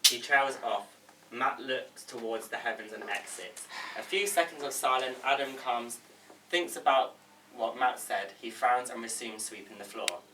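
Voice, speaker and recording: A man's voice: medium pitch, moderate pace, pushed and bright. A man reading out stage directions as informal narration at a table read. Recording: roomy, distant mic with background noise.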